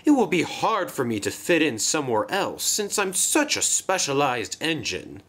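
A man voicing a chatty, naive, excitable female engine in a children's train story, gushing and easily flustered. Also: fairly high pitch, normal speed, husky, voice pushed up, exaggerated voice. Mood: distraught.

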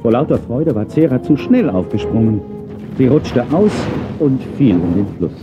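A man narrating a children's audio play as a dramatic, animated storyteller. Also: medium pitch, moderate pace, music in the background, lightly acted. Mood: suspenseful. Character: animated male storyteller of a children's dinosaur tale. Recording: dull cassette-era audio play recording.

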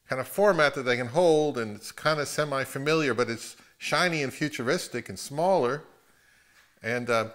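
A man's voice: medium pitch, fairly bright tone. A middle-aged man talking casually and unscripted to camera at home, hesitant, rambling and self-deprecating. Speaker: video host. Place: open living room at home, indoors.

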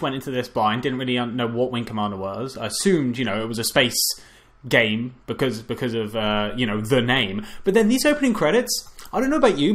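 A man's voice: medium pitch, a bit bright. A man co-hosting a casual film review podcast, talking in a sarcastic, jokey way. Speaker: podcast co-host.

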